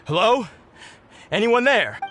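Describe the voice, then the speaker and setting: A man's voice: high-pitched, sing-song, slow, a bit bright, slight background noise, exaggerated voice. A man voicing a hesitant, puzzled young tribesman in a video game. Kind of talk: game voice acting.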